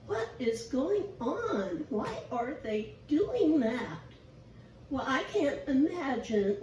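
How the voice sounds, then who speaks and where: Woman lay preacher, squeaky and raspy, self-deprecating, slow delivery, distant echoey church recording with faint hiss. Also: mellow. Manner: chatty, self-deprecating, asks the congregation questions. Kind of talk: informal sermon. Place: church sanctuary.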